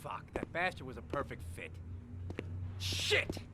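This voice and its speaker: A man's voice: medium pitch, huge pitch swings, unhurried. A man playing a hot-tempered, aggressive police interrogator in a cinematic video game. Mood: angry, frustrated. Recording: loud background noise.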